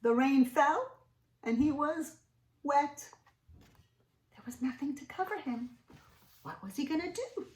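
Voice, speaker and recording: A woman reads a storybook to small children in a roomy, echoing space. Her voice swings widely as she stretches words for emphasis and checks in with her listeners. She takes it slowly. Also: sits high. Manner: animated, sing-song, drawn-out emphasis. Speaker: children's read-aloud storyteller. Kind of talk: expressive picture-book reading with questions to young listeners. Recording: roomy, echoing indoor sound.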